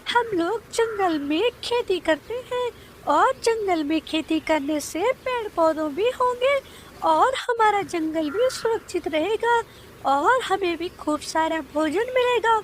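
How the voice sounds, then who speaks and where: Lady doing a tiny hopeful cartoon bird girl, super high voice, earnest, bit of background noise. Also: animated, slow, full character voice, poor audio. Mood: hopeful, determined. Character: young female bird, hopeful, earnest, hardworking farmer. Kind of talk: Hindi children's moral cartoon dubbing.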